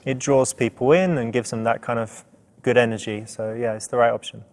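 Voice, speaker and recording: A man playing a smooth, attentive dater and coach, talking in a conversational, reassuring way. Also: mid-range voice, fairly even intonation, a little fast, natural voice. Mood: explanatory. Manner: conversational, reassuring, uses "you know" often. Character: smooth, attentive man chatting up a woman on a date. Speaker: seduction coach and dating game presenter. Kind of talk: scripted date dialogue and coaching commentary.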